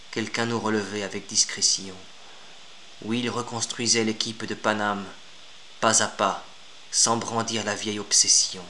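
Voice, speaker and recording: A man's voice: mid-range voice, sharp tone. A man reciting formal classical verse in a solemn, declamatory and grandiloquent manner. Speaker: poet reciting own poem.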